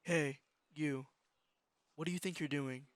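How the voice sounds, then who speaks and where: A man's voice: on the high side, fairly even intonation, a little slow, dry recording, lightly acted. A man playing a fierce, threatening fifteen-year-old little brother in a fan dub, with a menacing manner. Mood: cold threat. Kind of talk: fan-dub dramatic acting.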